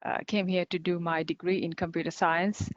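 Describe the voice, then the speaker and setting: A woman's voice: lowish voice, level tone, a little fast. Upbeat Sri Lankan woman on a video call. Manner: chatty and upbeat, many fillers and small laughs. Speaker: guest speaker, cybersecurity company director. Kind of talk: online conference talk. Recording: dull lo-fi video call audio.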